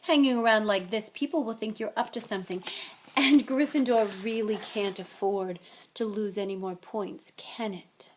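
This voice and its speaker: A woman voicing a smooth, threatening male schoolmaster in a storybook reading, speaking in a slow, silky way. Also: mid-range voice, noisy background, natural voice. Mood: smoothly menacing.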